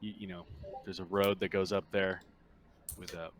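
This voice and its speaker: Man voicing an easygoing, low-pitched woman colony administrator. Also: flat delivery, moderate pace, a little hiss or hum, close to an everyday voice. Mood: matter-of-fact, obliging.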